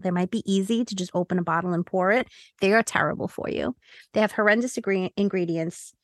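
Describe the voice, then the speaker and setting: A woman's voice: medium pitch, fast talker, no room sound, clean studio sound. A woman co-hosting a podcast in a casual, chatty style with a playful, jokey manner. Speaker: podcast co-host.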